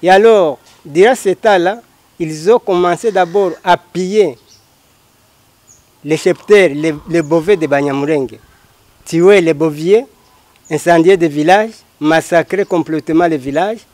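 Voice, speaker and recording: A middle-aged man from the Congo with a Congolese French accent, giving formal, deliberately phrased explanations as an interview guest. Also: fairly high pitch, a little mellow, no echo. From Democratic Republic of the Congo. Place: outdoors by a house wall. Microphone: handheld microphone held by interviewer.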